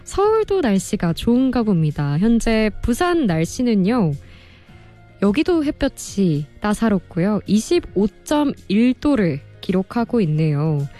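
A woman hosting a radio music show, reading listener messages in a polite, expressive presenter style. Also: mid-range voice, a little slow. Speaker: radio host and producer. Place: radio studio.

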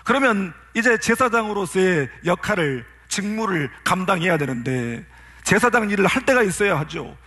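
A pastor delivers a sermon in a notably high voice for a man. He speaks earnestly and keeps turning to his listeners with rhetorical questions. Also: very animated, moderate pace, sharp tone.

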